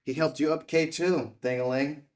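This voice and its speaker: A man playing a confrontational debunking journalist in a drama table read, pointed and deliberate in his delivery. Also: a little high, fairly even intonation, a little mellow, natural voice. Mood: accusing, sardonic. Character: confrontational male debunking journalist, sardonic and relentless. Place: indoor room at a filmed table read.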